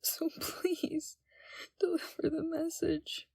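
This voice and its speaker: A woman playing a timid, frightened young captive in an audio roleplay, speaking in a hesitant, hushed and halting way. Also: extremely high pitch, flat delivery, vocal fry, no room sound, whispered, exaggerated voice. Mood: pleading, tearful. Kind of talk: scripted audio roleplay, ASMR.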